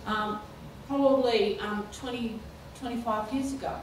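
A middle-aged woman with a slightly high voice, speaking slowly and haltingly on a panel, full of ums and restarts. She holds a handheld mic in a lecture hall, picked up from a distance with heavy room echo and faint background noise. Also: fairly even intonation. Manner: halting, many fillers and restarts, rising into laughing exclamations. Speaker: keynote speaker. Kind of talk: panel discussion.

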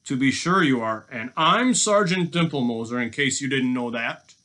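A man's voice: medium pitch, moderate pace, pushed and bright, voice pushed up, lightly acted. A man voicing a boastful, bullying robber villain from a children's storybook, taunting with mock sweetness and heavy emphasis.

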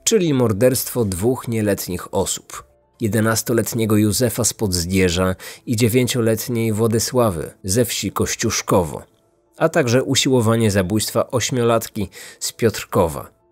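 A man's voice: lowish voice, measured pace. A man hosting a podcast, reading scripted true-crime narration. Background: faint music.

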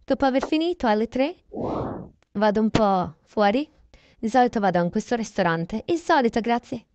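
High-pitched chatty woman, dull recording. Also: expressive, moderate pace, darkish tone, no echo. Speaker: narrator voicing a toy-game roleplay video. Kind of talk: casual improvised roleplay narration of a daily routine.

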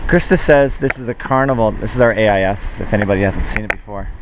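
A middle-aged man gives casual, skipper-style updates for a sailing vlog. He is outdoors on a boat, with clear noise of wind and water around his voice. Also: fairly low pitch, fast. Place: outdoors on a sailboat at sea. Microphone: action camera at varying distance. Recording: windy sea and boat noise.

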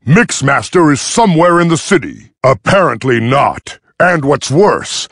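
A gruff robot field medic from a war video game. The voice is husky and gravelly, recorded dry and close. He gives orders and battle reports with urgency and a dry, sardonic edge. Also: on the high side, fairly bright tone, no echo, heavily acted. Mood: grave, businesslike.

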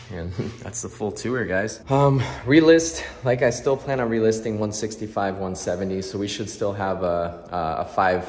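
A young man chatting casually to his viewers as he walks through an empty condo under renovation, filming himself on a handheld phone. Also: low-pitched. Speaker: real estate investor vlogger. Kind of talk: casual walkthrough vlog. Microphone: phone camera held at arm's length.

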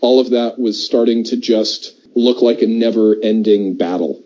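A man's voice: fairly low pitch, moderate pace, dark tone, highs cut off. A man talking casually and reflectively as a podcast panelist.

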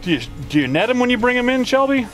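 Middle-aged host guy on a fishing boat, chill, keeps asking questions, kinda high voice, music behind. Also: very animated, quick pace. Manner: easygoing, asks curious questions. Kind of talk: fishing show hosting. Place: small open boat on a lake, outdoors. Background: background music.

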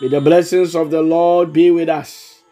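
Ghanaian pastor preaching, mellow voice. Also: male voice, fairly high pitch, flat delivery, unhurried, faint music behind. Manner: earnest preaching, repeats words, exhorts. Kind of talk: sermon mixing Twi and English.

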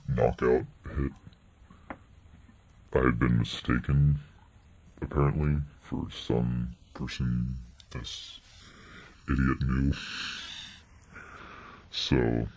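A young man with an extremely low voice and a creaky edge talks casually to a webcam. He meanders slowly and trails off between thoughts, over a faint noise in the recording. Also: a little mellow. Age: 20s. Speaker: vlogger. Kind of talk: casual rambling update vlog. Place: small bedroom indoors. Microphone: webcam at desk distance. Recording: noisy webcam audio.

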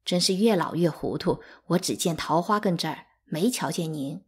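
A woman's voice: on the low side, normal speed, slightly rough. A woman voicing an aging female household servant in a storybook, kindly, fussy and apologetically deferential. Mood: flustered apology.

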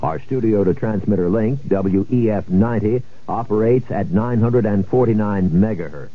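Very low male station announcer, tinny. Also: moderate pace. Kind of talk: formal radio station sign-on. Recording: tinny, slightly noisy old radio broadcast.

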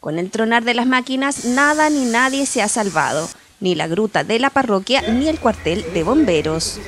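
A woman's voice: a little high, expressive, normal speed, slight background noise. Woman reporter reading news voice-over.

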